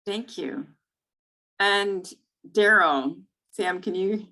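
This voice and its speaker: A woman's voice: mid-range voice, very slow, bright, forceful tone, rough recording. A woman moderating an online panel over a video call, chatty and friendly with frequent fillers.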